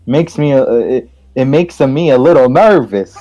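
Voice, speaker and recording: A man's voice: medium pitch, animated, normal speed, highs cut off, over music. A man reading game text aloud and reacting casually, playful and stumbling over lines.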